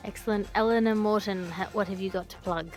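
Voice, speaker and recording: A woman hosting a comedy news podcast, chatting casually and wrapping up with quick plugs. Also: mid-range voice, fairly even intonation, soft background music. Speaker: podcast host.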